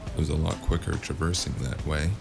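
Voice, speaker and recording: A man playing a world-weary, wry noir freelancer in a gravelly voice, narrating a cyberpunk fantasy audio drama in the first person. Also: rumbling low, fairly even intonation. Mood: brooding, wry. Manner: wry, brooding, unhurried. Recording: constant loud music underneath. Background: loud music.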